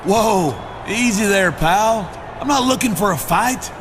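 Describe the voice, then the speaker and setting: Smug, taunting high-voiced wrestler. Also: male voice, lively intonation, unhurried. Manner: taunting, sarcastic. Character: smug, sneering, menacing schemer wrestler. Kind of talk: video game cutscene acting. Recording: clear background noise.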